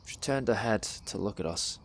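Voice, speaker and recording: A young man with a fairly low voice delivers a spoken word monologue. He tells it deliberately, with measured pauses, over faint background noise and birdsong. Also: expressive. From England. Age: young adult.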